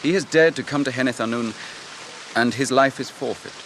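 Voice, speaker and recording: A man's voice: medium pitch, a little fast, lightly acted, low-quality recording. A British actor playing a proud, watchful captain in a radio drama, formal and imperious as he questions. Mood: stern. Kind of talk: BBC radio drama acting. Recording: hissy radio recording, loud falling water.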